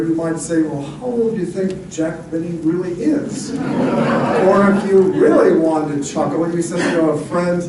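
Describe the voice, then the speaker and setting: A man acting as event host, giving a live welcome speech to an audience. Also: sits high. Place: theatre event hall, live. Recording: very echoey hall, distant, noisy.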